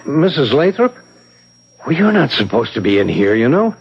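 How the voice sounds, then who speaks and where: A man's voice: medium pitch, sing-song, moderate pace, breathy edge, dull audio, full character voice. An American actor playing a flustered, middle-aged club member in a 1970s radio drama, stammering, repeating himself and losing his thread. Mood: flustered, polite. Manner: stammering, repetitive, confused, trailing off. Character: befuddled, flustered husband, a mild rule-bound club guard.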